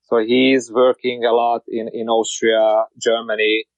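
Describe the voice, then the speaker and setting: A man from Hungary speaking English with a Hungarian accent as a podcast guest, talking in broken, halting sentences with many repeated words and restarts. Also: mid-range voice, level tone, no room sound, muffled recording. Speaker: guest, car wrap shop owner. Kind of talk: casual interview.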